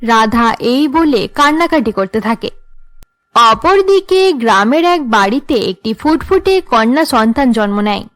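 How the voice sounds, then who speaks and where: A woman's voice: fairly high pitch, lively intonation, unhurried, a bit bright. A woman reading scripted narration for a cartoon story, as the storyteller between the characters' scenes.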